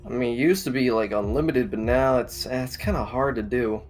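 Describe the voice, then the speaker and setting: A young man with a medium-pitched voice talks casually as a quiz contestant. He thinks out loud, unsure, with plenty of fillers. Soft music sits behind him. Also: a little fast. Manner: hesitant, thinks aloud with fillers. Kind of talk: casual chat.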